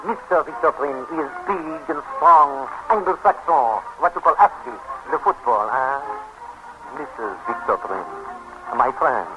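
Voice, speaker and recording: An actor playing a fussy, confiding French-accented hotel man in a 1930s radio comedy, theatrical and halting. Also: mid-range voice, expressive, natural voice, low-quality recording. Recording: 1930s radio broadcast with music.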